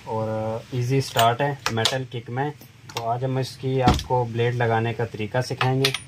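A middle-aged man talks at an unhurried pace while his hands work on a tool. His voice stays level and barely rises or falls. He is recorded on a phone held over a shop counter, with clear background noise in the recording. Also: mid-range voice. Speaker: tool shop seller demonstrating a chainsaw. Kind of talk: step-by-step product demonstration.

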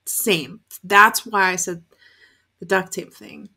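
A woman's voice: lowish voice, takes it slowly, gravelly, sharp tone, slightly rough. A young adult woman giving chatty, candid reaction commentary on a TV show, with casual swearing. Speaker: reaction video host. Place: bedroom, indoors.

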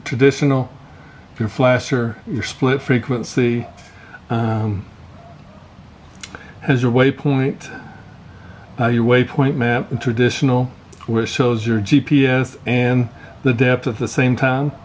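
A man giving a casual, unscripted hands-on gear walkthrough as a vlogger, pausing often mid-phrase. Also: mid-range voice. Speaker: vlogger showing fishing gear. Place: indoor garage workshop. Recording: steady background noise, room ambience.

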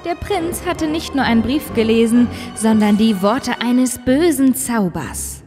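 A woman narrating a children's fairy-tale cartoon in a measured, clear way. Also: a little high, expressive, long reverb tail, over music.

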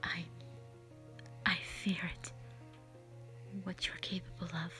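A woman voicing a gentle, protective elder dragon in a slow, solemn, whispered audio roleplay monologue, full of sorrow. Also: fairly low pitch, little pitch movement. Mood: fearful, grieving. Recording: music behind the voice.

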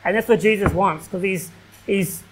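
A man's voice: high-pitched, moderate pace. A man in his thirties with an Australian accent, preaching a sermon in a small church hall and reading scripture aloud between casual remarks. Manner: preaching at a pulpit, reading King James verses aloud, casual fillers like "like". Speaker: pastor.

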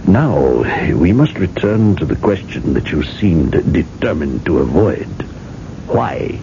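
An actor playing a mysterious, unhurried seller of eternal life in a radio drama, measured and gently amused. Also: male voice, deep voice, sing-song, heavily acted. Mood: enigmatic, amused. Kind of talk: 1980s radio drama acting. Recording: dull, hissy old radio recording.

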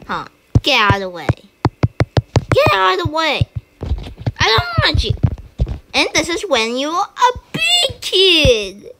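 Excitable high-voiced woman gamer. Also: slow pace, long pauses, noisy background. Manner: excitable, chatty, with sudden high squeals. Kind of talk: casual gameplay commentary. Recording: game music behind. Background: game music.

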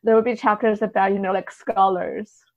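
A woman's voice: medium pitch, level tone, normal speed. A woman explaining things as a podcast guest in a casual, tentative way, often hedging and saying "like". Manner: tentative, hedging, peppers talk with "like". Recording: dull, slightly lo-fi audio.

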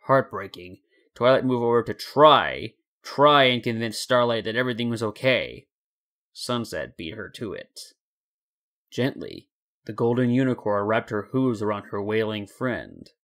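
A man's voice: on the low side, lively intonation, measured pace, fairly bright tone. A man narrating a scripted story in a dramatic reading style. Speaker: narrator and fan fiction reader.

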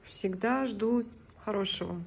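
A woman's voice: mid-range voice, a little slow, vocal fry, darkish tone, slight background noise. A middle-aged woman chatting casually and flirtatiously on a call, composed and playfully evasive, recorded on a phone at home. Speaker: social media video creator. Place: small indoor room at home. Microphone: phone at arm's length.

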